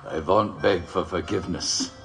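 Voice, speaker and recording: A man's voice: sits low, moderate pace, fairly bright tone, faint music behind. A man playing a defiant, unbending condemned prisoner in an audio drama. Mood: grimly defiant.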